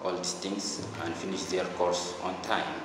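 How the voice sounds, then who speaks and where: Young male student president, low voice, halting formal delivery, reverberant hall. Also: flat delivery, background noise. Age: young adult. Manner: halting, pausing between short phrases. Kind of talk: formal introductory speech to camera. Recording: echoey hall, music behind.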